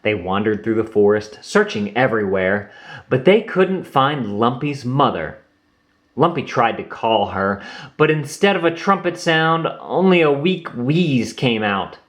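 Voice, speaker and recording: Slow, expressive male storyteller. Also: medium pitch. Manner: expressive, unhurried. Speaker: storybook reader. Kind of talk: reading a children's book aloud.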